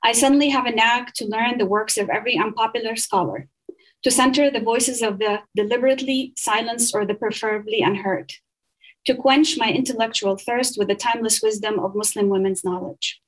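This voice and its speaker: A woman speaks in a somewhat high voice. She is earnest and measured, reading poetry and then explaining her research, stumbling and restarting now and then. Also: poor audio. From Saudi Arabia. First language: Arabic.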